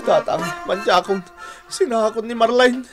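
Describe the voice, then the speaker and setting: A man's voice: extremely high pitch, moderate pace, music in the background. A man playing a whiny, henpecked young Filipino husband in a radio drama, given to complaining and pleading. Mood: sulky complaining. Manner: whining, pleading. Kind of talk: Ilocano radio drama acting.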